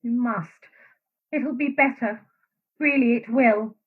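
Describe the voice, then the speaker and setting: A woman's voice: fairly high pitch, takes it slowly, husky. An actress playing an anguished, guilt-ridden woman in a secret love affair in a radio play, earnest and fretful. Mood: distressed. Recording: thin phone-like sound.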